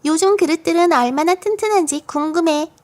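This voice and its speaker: Storybook little girl: high voice, cheeky, confident, matter-of-fact about the wildest tall tales. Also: brisk, a little mellow. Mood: curious, carefree. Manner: matter-of-fact, playful tall-tale telling. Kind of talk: children's storybook audiobook acting.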